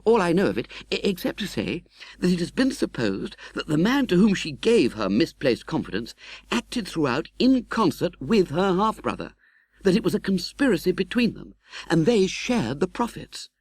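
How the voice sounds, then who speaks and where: A man playing a genteel, candid, cheerfully optimistic young gentleman in an audiobook, chatty and slightly hesitant. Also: high-pitched, moderate pace, no echo, voice pushed up, exaggerated voice. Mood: confiding. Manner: animated, chatty, hesitating with little 'uh's. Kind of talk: audiobook character acting.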